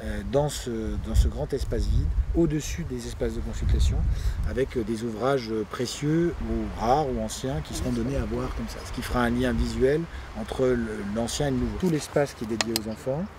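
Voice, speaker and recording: A man with a mid-pitched voice explains a project to visitors in an informal way. He pauses often to find his words. Urban noise and vehicles are clearly heard around him. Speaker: local official presenting a building project. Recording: noisy outdoor urban ambience, vehicles.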